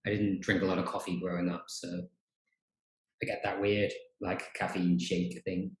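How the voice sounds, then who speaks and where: A man's voice: sits low, normal speed. Chatty male host, roomy sound. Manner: relaxed, chatty, frequent fillers. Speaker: podcast host, teacher trainer. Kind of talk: casual chat. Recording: roomy echo.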